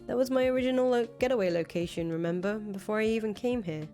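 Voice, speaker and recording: A woman's voice: medium pitch, fast, warm tone, natural voice. A woman voicing a brash, blunt, foul-mouthed revolutionary leader in a visual novel, talking casually. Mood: wry, casual. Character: brash, foul-mouthed revolutionary leader, volatile but attached to her friend. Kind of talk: visual novel dialogue reading. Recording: music behind the voice. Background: music.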